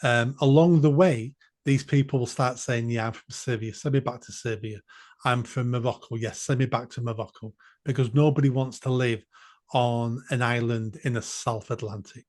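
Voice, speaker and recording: A man's voice: medium pitch, fairly even intonation, normal speed, a little mellow. A man, a podcast guest speaking bluntly and emphatically, repeating phrases for force. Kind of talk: political podcast discussion.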